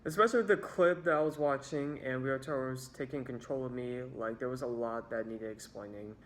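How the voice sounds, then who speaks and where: A man's voice: medium pitch, a little fast, a little hiss or hum. A young man narrating a scripted storytime about his own experiences as a video creator. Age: young adult.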